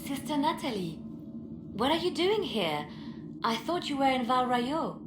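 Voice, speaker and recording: An actress playing a guarded, ruthless female spymaster in a fantasy game, with a measured, cutting delivery. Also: sits high, big pitch swings. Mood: surprised, pleased. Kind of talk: dramatic fantasy game acting. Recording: roomy echo under background music.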